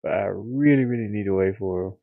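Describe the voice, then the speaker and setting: A man's voice: on the low side, brisk, gravelly, no echo, phone-quality sound. A man thinking aloud in casual live-stream chat, muttering informally to himself as he works. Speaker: streamer and hobby game developer.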